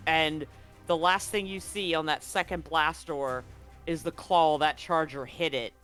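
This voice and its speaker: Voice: mid-range voice, steady tone, a little slow, fairly bright tone, clean tone. A game master running a live tabletop game, explaining the action and rules in a casual, conversational way. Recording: music behind the voice. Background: background music.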